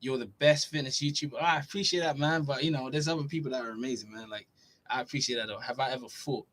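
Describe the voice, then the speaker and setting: Young adult male, Norway-born fitness streamer, casual chatty Q&A host, mid-pitched voice. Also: fast. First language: Norwegian. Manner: relaxed, chatty, reads questions aloud then answers. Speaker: fitness YouTuber answering live chat. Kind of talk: casual livestream Q&A.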